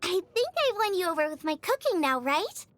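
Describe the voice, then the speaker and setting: A woman voicing a bubbly, eager teenage girl chef in scripted video game lines, perky, earnest and expressive. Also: extremely high pitch, a little fast, no echo, heavily acted. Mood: enthusiastic.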